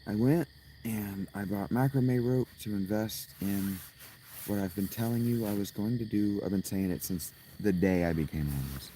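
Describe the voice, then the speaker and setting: Hoarse low-voiced man chatting at night. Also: moderate pace, mellow, slight background noise, low-quality recording. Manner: rambling, profane, restarts often. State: hoarse, strained voice. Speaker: livestreamer. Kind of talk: casual livestream chat with viewers. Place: outdoors at night by a fountain.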